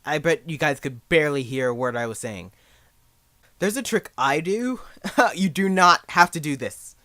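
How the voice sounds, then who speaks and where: A man's voice: on the high side, animated, normal speed, pushed and bright, no room sound, studio quality. A young man giving a casual spoken tutorial in a rambling, jokey, self-deprecating way. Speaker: amateur voice actor giving beginner advice.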